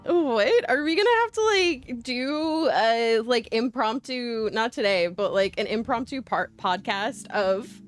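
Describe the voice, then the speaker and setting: A woman's voice: fairly high pitch, expressive, measured pace, few pauses, no room sound. A woman livestreamer rambling casually to her viewers in a playful, goofy way, full of slang and silly exclamations. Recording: music playing behind the voice.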